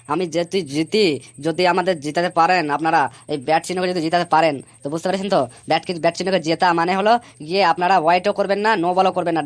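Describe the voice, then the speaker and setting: A woman's voice: lowish voice, natural voice. A woman voicing a boastful, scheming male election candidate in a comic cartoon, speechifying in a blustering, repetitive way.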